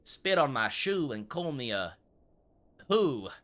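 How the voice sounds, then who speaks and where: A man's voice: mid-range voice, big pitch swings, slow pace, sharp tone, heavily acted. A man playing a fussy frontier gun shop owner in gameplay commentary, with a put-on American Old West accent and wordy, mock-formal courtesy. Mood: determined. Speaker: gaming video creator.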